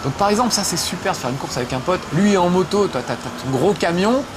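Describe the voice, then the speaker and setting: A man's voice: high voice, expressive, quick pace, background noise. A man hosting a video game show, chatting playfully and mocking himself as he plays. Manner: jokey, self-mocking, chatty with many fillers. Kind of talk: playful gaming chat while playing.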